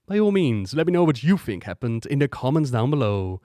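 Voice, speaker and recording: A man's voice: mid-range voice, sing-song, a little fast, warm tone, no echo, clean studio sound. A man narrating an explanatory game lore video in a conversational, engaged way.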